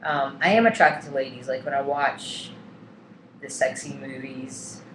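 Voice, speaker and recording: A man's voice: medium pitch, steady tone, measured pace. Young man rambling candidly in an echoey room. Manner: hesitant, lots of ums and likes, candid. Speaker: vlogger. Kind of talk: casual personal vlog. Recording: echoey room, faint background hum.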